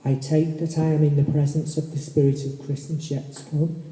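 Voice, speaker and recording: A man playing a frightened, pleading old miser in a radio play, with slow, dramatic and formal delivery. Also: fairly high pitch, little pitch movement, mellow, close to an everyday voice. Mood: fearful, respectful. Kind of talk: amateur stage radio play acting. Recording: echoey hall with long reverb.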